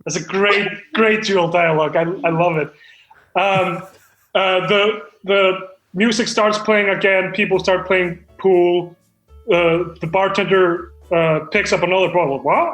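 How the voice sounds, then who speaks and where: High-voiced, stammering would-be screenwriter played by a man. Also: steady tone, a bit bright, faint music behind. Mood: earnest. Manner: halting, stammering, earnest. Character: eccentric amateur screenwriter from Hungary, earnest and self-important. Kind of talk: improvised comedy table read. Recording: roomy, echoey room sound.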